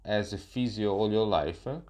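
A man interviewing someone, asking questions in a hesitant, halting way with many fillers. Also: fairly low pitch, darkish tone.

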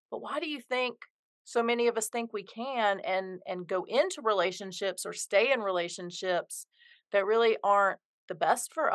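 A woman hosts a podcast in a bright, forceful voice. Her sound is dry and close, and she asks her guest questions in an engaged, empathetic way. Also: medium pitch, no room sound. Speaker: podcast host. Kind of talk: conversational interview.

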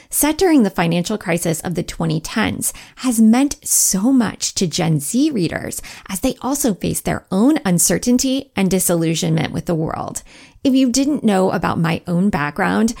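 A middle-aged woman hosts a book podcast from her home. Her voice has a gravelly, fry-tinged texture and a middle pitch. She talks in an enthusiastic, gushing way. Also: darkish tone. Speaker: podcast host. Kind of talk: scripted podcast intro, then casual interview chat. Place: home, Midwest US.

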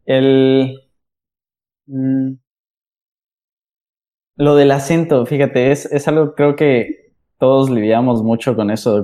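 A man's voice: medium pitch, dark tone. A man hosting a casual chat, laughing along and musing aloud.

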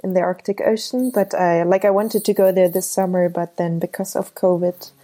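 Young woman from Germany, mellow voice, hesitant, lots of fillers, interview guest. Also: lowish voice, fairly even intonation, moderate pace. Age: 20s. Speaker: guest photographer. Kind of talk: casual interview chat.

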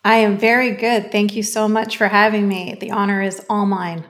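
A woman's voice: medium pitch, moderate pace. Canadian-raised woman on a podcast, earnest and thankful, talks her life story. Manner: earnest, grateful, animated storytelling. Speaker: guest author. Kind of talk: podcast interview.

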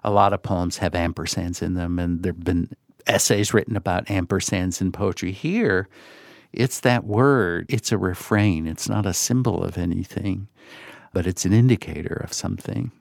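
A man's voice: sits low, normal speed, creaky, dry recording, studio quality. A man talking reflectively as a literary editor and host, thoughtful and hesitant, often circling back to rephrase. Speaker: magazine editor and podcast host.

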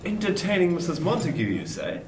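A man's voice: a little high, big pitch swings, brisk, distant mic. A man playing a jovial, superstitious older sailor in a seafaring radio drama, talking with colloquial yarn-spinning swagger. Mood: gruffly teasing. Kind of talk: period radio drama acting. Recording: roomy, off-mic, noisy ship ambience.

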